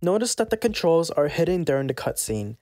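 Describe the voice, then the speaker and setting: A casual, playful host narrating a scripted software tutorial. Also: mid-range voice, sing-song, fairly quick, slight background noise.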